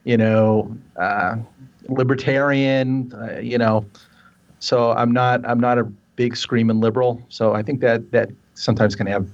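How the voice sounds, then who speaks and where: Fifties male guest, halting and self-deprecating, heard over a dull remote line. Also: medium pitch, flat delivery, gravelly. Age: 50s. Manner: hesitant, repeats words, self-deprecating. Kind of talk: casual chat on a call-in show. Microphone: remote call line. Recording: dull lo-fi online call audio.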